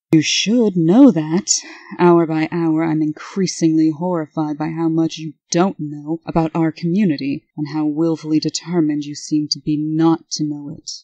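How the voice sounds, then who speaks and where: A prim, commanding woman in charge played by a voice actress speaks in a low, dark voice. She is formal and scolding, an exasperated sister in a comic dub, recorded very dry. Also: dry recording, dull audio.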